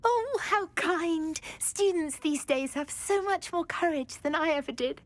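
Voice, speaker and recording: High-voiced, timid, genteel woman. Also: a little slow, no room sound. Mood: wistful, timid. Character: sweet, timid, wistful woman fascinated by butterflies. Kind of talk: video game dialogue acting.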